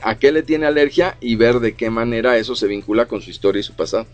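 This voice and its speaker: A man's voice: mid-range voice, steady tone, fast talker, dull audio. Man, middle-aged, radio co-host, explains with lots of fillers, faint background music. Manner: explanatory, frequent fillers like "o sea" and "¿no?". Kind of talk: casual radio chat on psychology.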